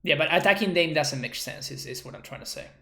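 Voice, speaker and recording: A man's voice: a little high, animated, quick pace, gravelly, bright, forceful tone. A man from Argentina, streaming a card game and thinking aloud as he works through his plays. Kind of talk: live card game commentary. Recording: roomy echo.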